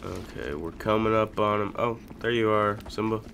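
A young man casually commentating a video game as he plays, chatty and joking, with sudden loud outbursts. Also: fairly low pitch, normal speed, vocal fry, slight background noise. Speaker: gaming YouTuber. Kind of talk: casual let's-play commentary.

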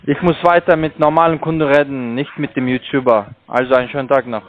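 A man with a foreign accent in German, a call-centre agent arguing on the phone in a halting, insistent way. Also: medium pitch, fairly even intonation, narrow, tinny audio. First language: not German. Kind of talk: unscripted phone sales argument. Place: on a telephone line.

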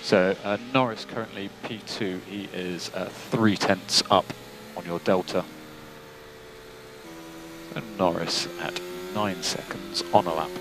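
Low-voiced male race engineer on noisy radio. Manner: calm, clipped, businesslike. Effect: team radio. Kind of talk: terse, technical motorsport radio instructions. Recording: noisy team radio, engine noise behind.

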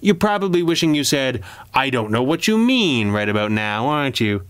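A man voicing a young undercover policeman in a crime story, brash and defiant, with sharp, challenging retorts. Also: mid-range voice, big pitch swings, moderate pace, clean tone, no echo, voice pushed up. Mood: snappy, probing.

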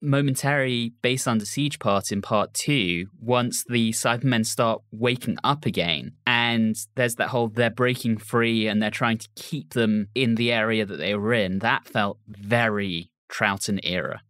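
A man's voice: fairly low pitch, unhurried, few pauses, fairly bright tone, no room sound, studio quality. A man hosting a casual podcast discussion. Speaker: podcast host.

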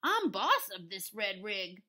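A bossy, stubborn storybook cow, acted by a woman reader, declares things loudly and with big swings of pitch. She draws her words out as if she runs the whole truck. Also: big pitch swings, slow pace, heavily acted.

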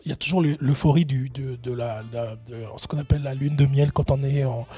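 A man's voice: mid-range voice, steady tone, fairly quick, mellow, slightly rough, faint music behind. A middle-aged man answering interview questions at length, hesitant, with many fillers and restarts. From Benin, raised in Gabon. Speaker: guest, entrepreneurship consultant.

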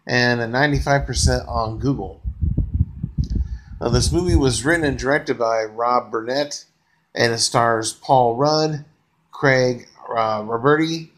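A man's voice: mid-range voice, low-quality recording. A middle-aged man giving a casual, unscripted movie review from his bedroom, lying back and talking into a close webcam, rambling with lots of fillers and gushing praise. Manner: relaxed, rambling, frequent uh fillers, enthusiastic praise. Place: bedroom, lying on a couch or bed, indoors. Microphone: webcam close to the face.

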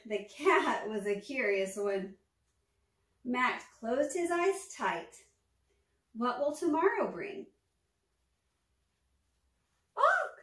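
Slow, echoey woman reading to children. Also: high voice. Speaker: storytime reader, former teacher. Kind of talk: children's picture-book read-aloud. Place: small furnished room at home, indoors. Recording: echoey room, distant microphone.

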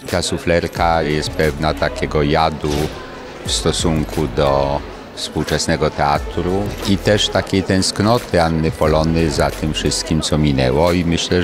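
Man, director, extremely deep voice, interview reflection, clear music underneath. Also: fairly even intonation, a little slow. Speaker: stage director.

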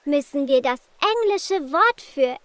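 A woman's voice: high-pitched, expressive, a bit bright, dry recording, exaggerated voice. A woman voicing a cheerful little girl adventurer in a preschool cartoon, speaking simply and encouragingly to young viewers. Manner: slow, simple, encouraging delivery.

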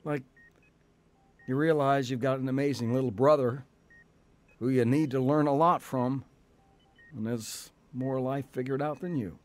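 A man playing a contrite older brother, earnest and gently wry, in a radio drama. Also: medium pitch, steady tone, measured pace, long pauses, lightly acted. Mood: grateful, wry. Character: contrite older brother, newly grateful and sincere.

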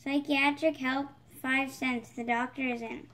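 High-pitched female storybook reader. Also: steady tone, a little slow, a bit bright, slight background noise. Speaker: storybook reader, channel host. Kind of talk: picture book read-aloud.

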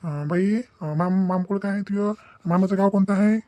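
A man's voice: high voice, moderate pace, warm tone, no room sound, lightly acted. A man voicing a questioning village matchmaking figure in a comic cartoon, colloquial, on a noisy track. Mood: brisk, questioning. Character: village men at a matchmaking visit.

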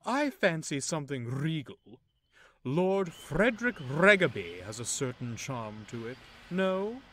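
A man voicing a pompous, boastful male tank engine who talks down to everyone, loud and grandiose. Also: a little high, very animated, a little slow, voice pushed up, exaggerated voice.

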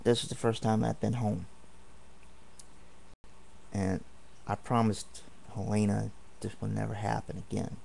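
A man's voice: lowish voice, little pitch movement, a little slow, vocal fry, pauses often, a little mellow, slight background noise. A man ranting indignantly and at length in a home video, repeating himself as he rambles. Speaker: vlogger. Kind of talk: angry home video rant. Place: home apartment.

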